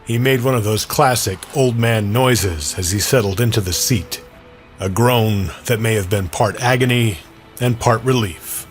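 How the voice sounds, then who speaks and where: A man reads a first-person story as narrator of an audio drama. His voice sits fairly low. An original music score plays clearly behind him. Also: measured pace. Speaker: narrator and performer.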